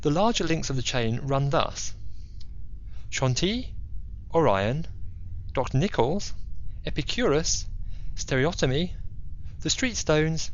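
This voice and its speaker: A man as gentleman detective, high voice, assured, precise, theatrical, animated intonation, audiobook drama. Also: takes it slowly, pauses often, lo-fi sound. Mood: confident, explaining.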